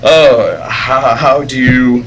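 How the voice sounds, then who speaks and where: A man's voice: medium pitch, very slow, breathy edge, muffled recording. A man playing a mild, earnest, hesitant young newspaper reporter in a 1940s radio serial read live. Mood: bashful. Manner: hesitant, polite. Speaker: table-read performer. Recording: noisy convention floor ambience. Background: convention hall noise.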